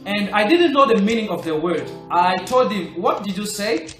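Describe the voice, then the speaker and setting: High-voiced French-native man coaching learners. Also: lively intonation, moderate pace. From Republic of the Congo. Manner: earnest, coaching, stresses key points. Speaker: language-learning vlogger. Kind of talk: motivational talk to camera. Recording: roomy echo, soft music behind.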